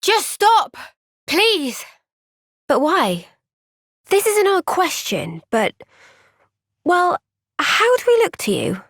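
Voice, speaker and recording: A woman voicing an earnest, kind young woman soldier in a video game, speaking gently and sincerely in a thoughtful, resolute way. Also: extremely high pitch, wildly swooping pitch, slow pace, pauses often, no room sound, clean studio sound, heavily acted. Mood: urgent, worried. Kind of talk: video game cutscene voice acting.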